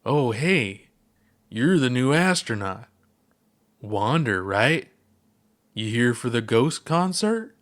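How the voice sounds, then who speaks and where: A man's voice: medium pitch, very animated, slow, long pauses, a bit bright. A man reading aloud, voicing an older, laid-back alien space explorer who talks in an easygoing, unbothered way. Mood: relaxed.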